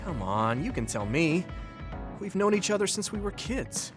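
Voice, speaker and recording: A man's voice: high voice, very animated, normal speed, music in the background. An actor playing an earnest, supportive and self-assured teenage boy soldier in a video game. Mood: gentle concern. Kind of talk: English video game voice acting.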